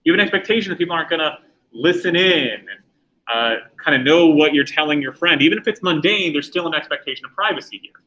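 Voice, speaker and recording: A middle-aged man gives a classroom lecture in a loose, conversational way, often turning to ask the students questions. His voice is bright and forceful, pitched a little high for a man. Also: animated, quick pace, poor audio. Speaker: teacher.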